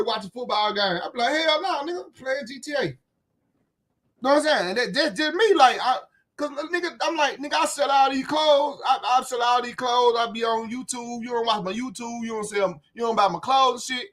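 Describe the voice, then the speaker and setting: High-pitched, blunt Memphis man ranting. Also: fairly bright tone. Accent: Southern US, Memphis. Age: 30s. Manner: blunt, profane, repetitive, frequent "you know what I'm saying". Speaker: YouTube commentator. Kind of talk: casual rant. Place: outdoors, windy.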